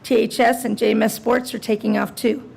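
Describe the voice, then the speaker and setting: A woman who is president of a teachers' union, hoarse and raspy from laryngitis, reading a prepared statement at a school board meeting. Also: a little high, flat delivery, brisk, darkish tone.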